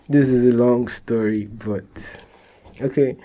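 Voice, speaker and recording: Slow, mellow-voiced Nigerian young man. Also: mid-range voice. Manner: relaxed, repeats and restarts phrases, laughs softly. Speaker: interviewee, musician. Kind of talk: casual interview answers.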